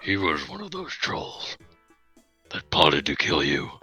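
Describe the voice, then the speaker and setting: A woman performs a sulky, threatening teenage daughter in a comic read-through. The voice is extremely low and forceful, with slow, curt, commanding delivery. Music plays softly behind it. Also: breathy edge, full character voice. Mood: indignant. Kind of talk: comic dramatic script reading.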